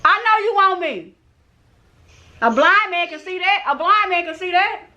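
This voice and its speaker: A woman's voice: very high-pitched, expressive, moderate pace, bright, forceful tone, lo-fi sound. A woman from Texas hosting a prank video, trash-talking strangers playfully over a phone video chat. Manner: teasing, playful trash talk. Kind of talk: casual prank chat on a video call app.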